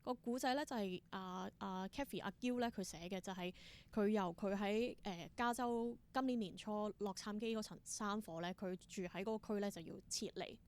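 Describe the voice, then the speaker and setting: A woman's voice: mid-range voice, moderate pace, few pauses, a little mellow, clean tone. Chatty Hong Kong Cantonese woman, enthusiastic guest. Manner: enthusiastic storyteller, mixes in English words. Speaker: interview guest, independent theatre performer. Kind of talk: casual interview chat.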